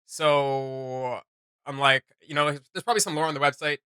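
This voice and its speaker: A man's voice: on the high side, steady tone, moderate pace, sharp tone, smooth, no echo, studio quality. A man hosting a reaction video, giving enthusiastic, slangy, off-the-cuff commentary.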